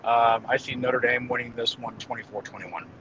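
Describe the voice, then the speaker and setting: A man's voice: medium pitch, steady tone, moderate pace, dull audio, background noise. A man giving casual football predictions as a guest analyst, hesitating and thinking aloud as he goes. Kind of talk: casual game-prediction commentary.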